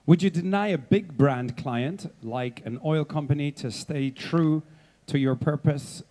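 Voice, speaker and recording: A man moderating a festival stage interview, asking brisk, playful questions. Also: a little high, dark tone.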